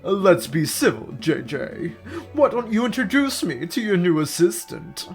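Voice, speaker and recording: A man's voice: high-pitched, very animated, measured pace, full character voice. Man putting on a pompous rooster lawyer, sneering, theatrical, swooping delivery, video game villain, music behind. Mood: smug. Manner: haughty, mocking, theatrical. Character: pompous, sneering male rooster prosecutor, arrogant rival.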